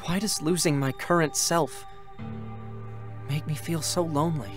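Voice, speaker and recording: An actor playing a lonely young male android in a dramatic reading, gentle, reflective and questioning. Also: a little high, steady tone, a little slow, lightly acted. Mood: wistful, lonely. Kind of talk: dramatic stage reading of a game story. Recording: music behind the voice.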